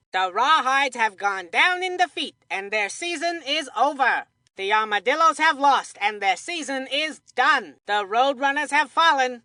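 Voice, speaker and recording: Kids' baseball game commentator: upbeat cartoon announcer, bright and forceful, punchy delivery, very dry studio sound. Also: female voice, high voice, dry recording, exaggerated voice. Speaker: sports commentator. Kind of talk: scripted play-by-play sports announcing.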